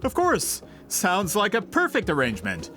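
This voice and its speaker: Courteous game merchant, highish voice, animated, eager, flattering, persistent. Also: male voice, normal speed, faint music behind, heavily acted. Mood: apologetic, eager. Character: polite, flattering, persistent adult male merchant. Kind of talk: video game voice acting.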